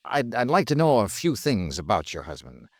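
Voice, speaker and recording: An actor playing a smooth, wry insurance investigator in a 1950s radio detective drama, probing politely with deliberate pauses. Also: male voice, lowish voice, wildly swooping pitch, no room sound, clean studio sound, exaggerated voice. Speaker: lead actor and narrator.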